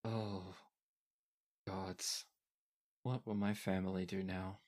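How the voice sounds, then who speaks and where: A man's voice: low voice, level tone, slow pace, a little mellow, pitched below the performer's own voice. A man voicing a dazed, courteous young fanged man just brought back to life, hesitant and bewildered, in a tabletop game. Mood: dazed, downcast. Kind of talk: improvised tabletop roleplay.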